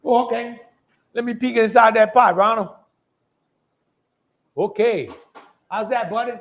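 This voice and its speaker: A man's voice: extremely high pitch, unhurried, a bit bright, lo-fi sound. A man hosting a live cooking demonstration in a folksy, chatty, colloquial way. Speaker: host of a live cooking show.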